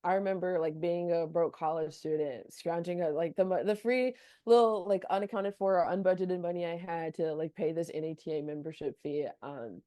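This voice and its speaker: A woman's voice: on the low side, fairly even intonation, fairly quick, hardly any pauses, warm tone, no echo. A young woman from North Carolina, an athletic trainer, talking chattily in a casual podcast interview with many "like" fillers. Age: young adult.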